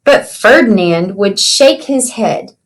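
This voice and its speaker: A woman's voice: mid-range voice, expressive, takes it slowly, rough recording. A woman reading a children's storybook aloud like a teacher, explaining things simply and checking in with young listeners.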